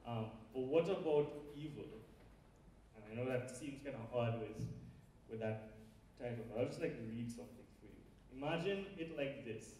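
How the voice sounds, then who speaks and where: A man's voice: mid-range voice, normal speed, mellow, a little hiss or hum. A man from Trinidad with a Trinidadian accent, giving a conversational sermon with fillers and asides to a large audience. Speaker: preacher. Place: large lecture hall with a big audience. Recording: very echoey hall, voice heard from far off.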